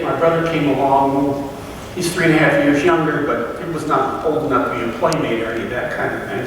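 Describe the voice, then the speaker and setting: A man with a fairly high voice gives a halting, reflective talk, often stopping to restart his sentences. He sounds distant from the mic, and his words ring out through a large hall with a long echo. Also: a bit bright, slight background noise. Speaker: fellowship member giving a talk. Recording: echoey hall from far off.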